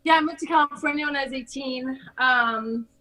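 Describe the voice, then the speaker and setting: A woman's voice: sits high, normal speed, pushed and bright, poor audio. A middle-aged American woman from Hawaii, chatting effusively and telling personal stories as a podcast guest. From Hawaii, United States. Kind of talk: casual personal storytelling in an interview.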